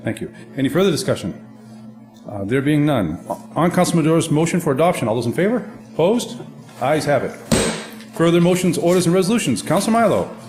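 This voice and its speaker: A man serving as council president, chairing the meeting with brisk procedural calls. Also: fairly high pitch, very animated, music in the background. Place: council chamber.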